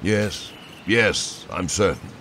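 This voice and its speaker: A man's voice: low-pitched, takes it slowly, a bit bright. An actor playing a middle-aged soldier father who is urgent and protective, in a fantasy audio drama. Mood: soothing. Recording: noisy battle ambience behind voice.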